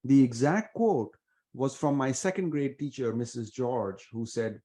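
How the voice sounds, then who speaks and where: Casting: middle-aged Indian-accented man, warm low-key tone, earnest guest answering with pauses and fillers. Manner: earnest, measured, many fillers. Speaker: venture investor guest. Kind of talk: reflective interview answers.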